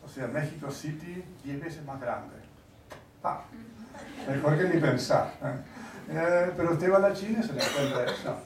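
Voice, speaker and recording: A man lectures in a halting, wry way, hunting for words between fillers. His voice is gravelly. He is picked up from a distance in a big echoey hall. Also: fairly high pitch, crowd noise. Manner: wry, conversational. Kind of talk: lecture to an audience.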